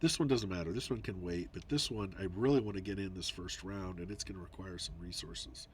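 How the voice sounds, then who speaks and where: A somewhat low-pitched man talks through his moves in a digital board game, sounding congested and slightly nasal. He rambles as he thinks aloud, with frequent ums and restarts. A faint hiss sits under the recording. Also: brisk. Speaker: board game video player. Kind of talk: casual gameplay commentary.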